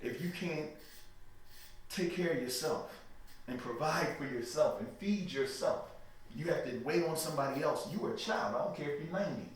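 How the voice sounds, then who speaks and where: A man's voice: fairly high pitch, normal speed. A man giving interview answers in a preachy, emphatic way, often checking "you know what I mean". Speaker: interview guest. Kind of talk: emphatic religious talk. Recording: echoey room, mic far off, light noise.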